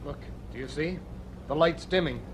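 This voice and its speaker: A man's voice: a little high, moderate pace, a little hiss or hum, natural voice, low-quality recording. An actor playing a sceptical young male student in a radio drama, insistent and argumentative. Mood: tense.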